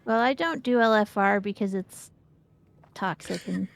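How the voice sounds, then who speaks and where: A woman's voice: mid-range voice, unhurried, vocal fry, dry recording. Candid woman in her fifties, chatting casually. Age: 50s. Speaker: game streamer, co-host. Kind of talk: casual chat about gaming.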